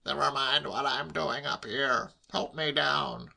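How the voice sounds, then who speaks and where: Guy doing a grumpy exasperated old uncle, english gent, very gravelly and strained, comic audiobook. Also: mid-range voice, steady tone, slow pace, bright, forceful tone, full character voice. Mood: strained, impatient. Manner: testy, strained, emphatic. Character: irritable, exasperated older English gentleman uncle.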